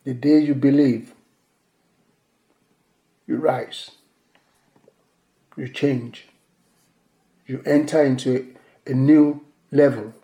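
Slow, deliberate pastor with a mellow voice. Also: male voice, medium pitch, steady tone, highs cut off. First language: Yoruba. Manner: measured, repeating key phrases for emphasis. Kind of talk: sermon. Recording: echoey room.

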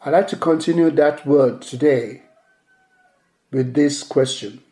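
A male pastor whose first language is Yoruba preaches a sermon in a measured way, repeating key phrases for emphasis. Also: fairly high pitch, mellow. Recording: echoey room.

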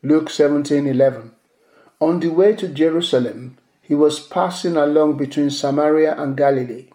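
A male pastor whose first language is Yoruba preaches a sermon in a measured way, repeating key phrases for emphasis. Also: a little high, warm tone. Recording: echoey room.

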